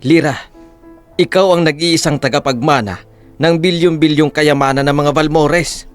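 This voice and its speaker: A man's voice: on the high side, moderate pace, soft background music, lightly acted. A man playing an earnest, formal emissary of a rich family in an audio drama, solemn and persuasive. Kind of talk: Tagalog audio drama acting.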